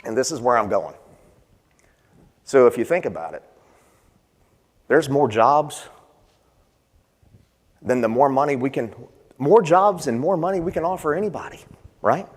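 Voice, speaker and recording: A man's voice: medium pitch, expressive, measured pace, pauses often, slightly rough. A man leading a conference session, talking conversationally and putting questions to the audience. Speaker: session presenter. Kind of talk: conference panel talk. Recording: long hall reverb.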